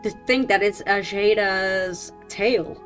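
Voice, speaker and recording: A woman's voice: medium pitch, fairly even intonation, slow. A woman streamer giving casual, informal game commentary, often muttering to herself. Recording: game music behind the voice.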